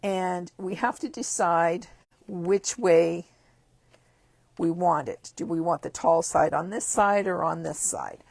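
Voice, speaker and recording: A hoarse woman with a croaky voice, chatting upbeat through a card-making tutorial step by step. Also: lowish voice, slow pace, a little mellow, no room sound. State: hoarse, losing her voice. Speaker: craft demonstrator.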